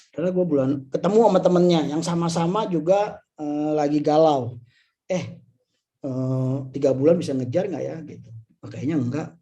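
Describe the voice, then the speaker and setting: Mellow middle-aged Indonesian man, informal talk. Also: high-pitched. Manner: conversational, peppered with tag phrases and rhetorical questions. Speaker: insurance agency leader and motivational speaker. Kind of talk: informal motivational talk to colleagues.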